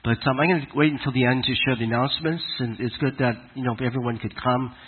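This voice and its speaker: Raspy man with a cold preaching. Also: medium pitch, phone-quality sound. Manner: conversational, halting with fillers. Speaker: congregation leader. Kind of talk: sermon. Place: congregation hall in Alberta.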